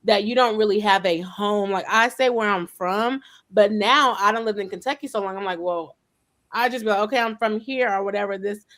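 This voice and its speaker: A woman's voice: medium pitch, brisk, fairly bright tone. Chatty woman hosting a group chat. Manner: chatty storyteller, acts out dialogue. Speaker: host.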